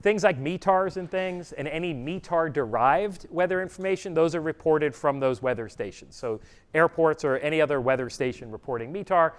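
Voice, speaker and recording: Loud-voiced man giving a conference talk. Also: on the high side, normal speed, smooth. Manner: loud, projecting voice; plain, conversational with frequent "uh" fillers. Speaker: presenter, customer education trainer. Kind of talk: conference presentation. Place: conference session room. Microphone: room mic or none, speaking to live audience.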